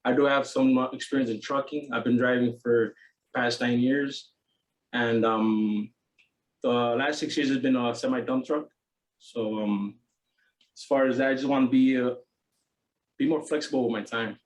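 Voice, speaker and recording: A truck driver introducing himself to a class, halting with fillers. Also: male voice, mid-range voice, fairly even intonation, far from the mic, rough recording. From Chicago, Illinois.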